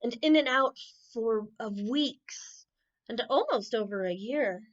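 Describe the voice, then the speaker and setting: A woman reading children's bedtime stories aloud in an expressive storytelling manner, with exclamations and asides. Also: on the high side, slow pace, highs cut off. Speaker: camp unit head reading bedtime stories. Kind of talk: animated picture-book read-aloud for children.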